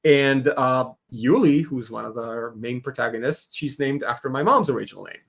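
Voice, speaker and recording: A man's voice: medium pitch, moderate pace, creaky, a bit bright. A man, a Hebrew speaker, talking animatedly as a guest in a casual podcast interview, laughing often. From Israel. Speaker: guest artist.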